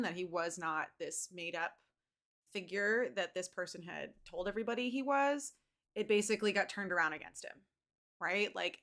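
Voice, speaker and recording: A woman's voice: on the low side, a bit bright. A woman giving casual explanatory commentary like a teacher, emphatic and repeating words for stress. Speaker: teacher commenting on a video for students.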